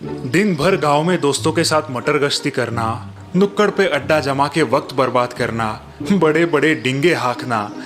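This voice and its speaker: A man's voice: medium pitch, moderate pace, a bit bright, faint music behind. A man reading scripted narration for a moral story, as its narrator.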